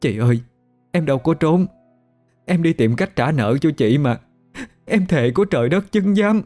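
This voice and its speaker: Giọng nam giả cô gái miền Nam lẳng lơ, giọng cao vút. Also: male voice, on the high side, animated, measured pace, warm tone, faint music behind, pitched above the performer's own voice. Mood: tearful pleading. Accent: Southern Vietnamese. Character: scheming, foul-mouthed young woman feigning innocence.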